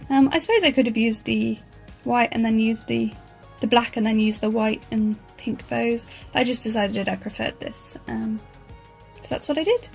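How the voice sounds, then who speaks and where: List: woman, raised pitch, gravelly croaky voice, chatty, self-deprecating, casual voice-over. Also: normal speed, soft background music. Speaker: planner vlogger.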